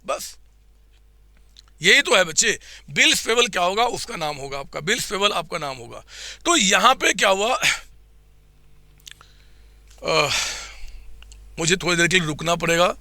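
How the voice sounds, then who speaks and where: A man teaching accounts in a live online class, with a slightly hoarse throat, quizzing his students and answering for them in a coaxing way. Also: high-pitched, very animated, a little slow, long pauses, bright, forceful tone, dry recording.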